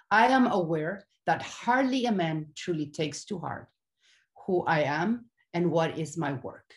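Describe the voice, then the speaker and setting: A woman's voice: medium pitch, natural voice. A middle-aged woman playing a proud, regal Wisdom figure in a grandiose theatrical monologue. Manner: grandiose, declamatory. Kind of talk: theatrical monologue from a translated Renaissance comedy.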